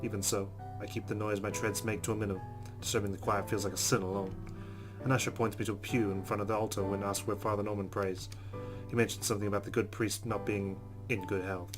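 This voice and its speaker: A man's voice: lowish voice, level tone, fast, over music. A man reading a visual novel aloud as a let's play host, in a narrating style.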